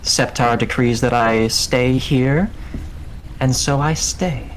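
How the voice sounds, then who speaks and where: Man voicing a slow, courtly sewer ratman cultist. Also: mid-range voice, a little hiss or hum, close to an everyday voice, low-quality recording. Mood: businesslike, devout. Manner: formal, measured, deliberate phrasing. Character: courtly, self-assured ratman plague cultist living in sewers.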